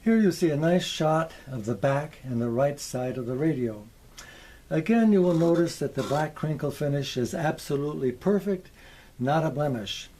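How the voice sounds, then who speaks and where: A man presenting a show-and-tell video in a folksy, deliberate way, with short careful phrases, theatrical exclamations and wry humour. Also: on the high side, lively intonation, dark tone, a little hiss or hum. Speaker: presenter, vintage radio collector.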